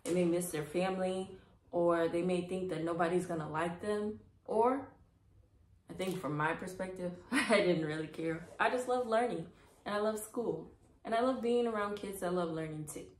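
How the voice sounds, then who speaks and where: A woman's voice: on the low side, normal speed. Young woman reading aloud, echoey room. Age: young adult. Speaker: storybook reader, host. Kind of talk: children's read-aloud with casual asides. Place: small indoor room.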